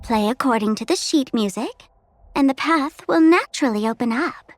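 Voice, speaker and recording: A woman's voice: high voice, expressive, normal speed. A woman voicing a playful, self-assured young trickster in a video game, with coy, poised delivery. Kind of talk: video game character acting. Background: game music.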